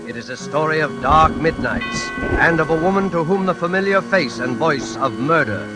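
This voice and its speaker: A man announcing a 1940s radio suspense show in a formal, ominous manner, with deliberate dramatic pauses. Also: on the high side, animated. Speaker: announcer and host. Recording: old radio recording, loud music behind.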